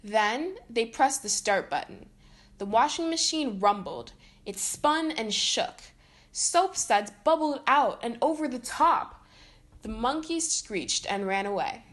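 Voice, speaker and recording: A woman reading a children's story aloud in an expressive way, acting out the dialogue. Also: on the high side, slow pace. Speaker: storybook reader.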